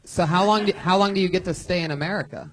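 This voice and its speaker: Teasing male comedy show host. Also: high-pitched. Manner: quick, teasing questions. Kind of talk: live comedy show hosting. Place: comedy club stage.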